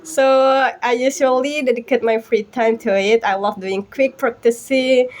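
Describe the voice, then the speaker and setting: Giggly Indonesian young woman, higher-pitched voice. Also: fairly even intonation, normal speed, a little mellow. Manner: giggly, friendly and chatty. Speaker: host and presenter of a language practice talk group. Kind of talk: informal presentation to a small online group. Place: online video call.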